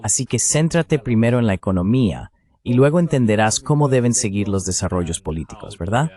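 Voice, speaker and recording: Mellow, lively low-voiced male moderator. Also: a little fast. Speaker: webinar moderator. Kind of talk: translated panel moderation voice-over.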